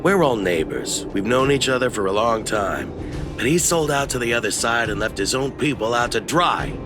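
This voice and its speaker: A man's voice: mid-range voice, lively intonation, moderate pace, music in the background, lightly acted. A man voicing a shifty, blustering merchant in a video game, theatrical and wheedling, proud of his reputation and easily cowed. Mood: defensive, insistent.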